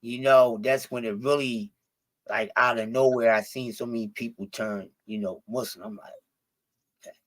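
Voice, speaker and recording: Philly guy on a stream, swears a lot, rambles and restarts, mid pitch. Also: fairly even intonation, normal speed, fairly bright tone, rough recording. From Philadelphia. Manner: profane, rambling, with many restarts. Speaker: stream guest. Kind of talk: casual chat.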